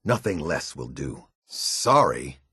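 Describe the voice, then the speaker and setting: A man voicing a stern, disciplined military captain in a video game, with a husky voice and a clipped, commanding, formal delivery. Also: rumbling low, big pitch swings, takes it slowly, pushed and bright, no room sound, clean studio sound, exaggerated voice. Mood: stern, disdainful. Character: stern male military captain, disciplined, exacting, loyal to his order.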